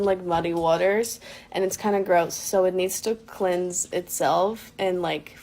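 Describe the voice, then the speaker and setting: A woman's voice: medium pitch, a little mellow. A woman casually telling an amused anecdote to camera.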